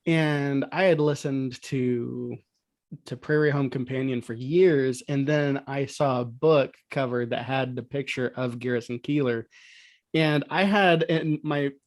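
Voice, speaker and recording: A man talking casually as a podcast guest about old-time radio, somewhat halting as he thinks aloud. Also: medium pitch, no room sound. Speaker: podcast guest, audio drama producer.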